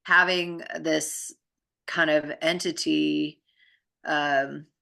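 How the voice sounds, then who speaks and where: A woman with a low, gravelly voice talks casually about her own experiences. She rambles informally, circling back and letting thoughts trail off, with a bright edge to the sound. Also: steady tone, drawn-out words. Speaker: spiritual practitioner in conversation. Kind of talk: casual chat, personal storytelling.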